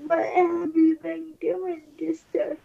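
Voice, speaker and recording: A woman from the United States in an intimate couple roleplay, cooing in a drawn-out, babyish way on a lo-fi recording. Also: very high voice, flat delivery, gravelly, dark tone. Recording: muffled playback of a lo-fi video.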